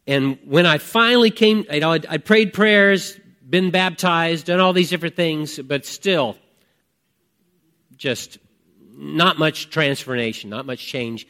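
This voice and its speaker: A man in his fifties preaching a conversational sermon, reflective and emphatic, with deliberate pauses and repeated phrases. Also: a little high, sharp tone. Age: 50s. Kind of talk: conversational sermon with personal testimony.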